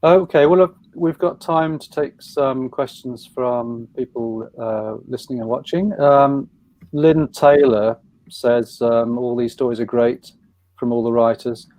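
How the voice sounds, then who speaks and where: A man's voice: mid-range voice, steady tone, measured pace, a little mellow, poor audio. A man chairing an online panel, reading out questions from the audience in a hesitant way with lots of fillers. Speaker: event chair. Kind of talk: moderating a panel discussion.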